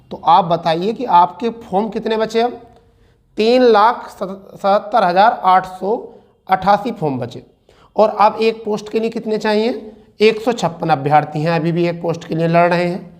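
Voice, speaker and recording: A man lecturing students as a coaching teacher, asking and answering his own questions and repeating key points. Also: high-pitched.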